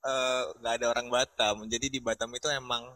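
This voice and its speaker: A young man in casual chat, loose and slangy, pausing on fillers as he talks. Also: mid-range voice. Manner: relaxed slangy talk with fillers. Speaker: panel guest.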